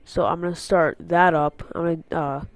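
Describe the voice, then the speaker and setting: A man's voice: fairly high pitch, steady tone, moderate pace, creaky, no room sound. A teenage boy with a congested, stuffy nose from a cold, rambling casually through a channel update on an unfamiliar headset mic. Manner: rambling, filler-heavy, goes on tangents. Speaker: gaming channel host.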